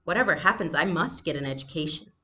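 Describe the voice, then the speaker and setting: A woman's voice: deep voice, lively intonation, fast, a bit bright. A woman voicing an earnest, questioning young girl in a storybook. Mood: amazed, puzzled.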